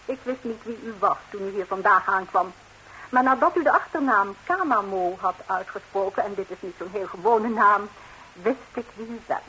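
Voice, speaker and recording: An actress playing a composed, sharp-tongued betrayed wife in a 1960s radio comedy, answering with cool, pointed deliberation on a hissy recording. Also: female voice, mid-range voice, normal speed, lightly acted, rough recording. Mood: dry, vengeful.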